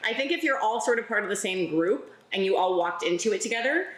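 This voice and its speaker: A woman's voice: medium pitch, animated, fast talker, a bit bright. A woman who works as a sex educator and relationship coach, chatting casually and playfully as she leads a party game and explains her work. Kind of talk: casual chat. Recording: echoey room.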